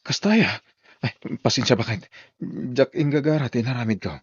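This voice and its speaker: A man's voice: mid-range voice, normal speed, husky, no room sound, muffled recording, close to an everyday voice. A man with an Ilocano accent playing a hard-drinking husband in an audio drama, boisterous with friends, belligerent or sheepish at home.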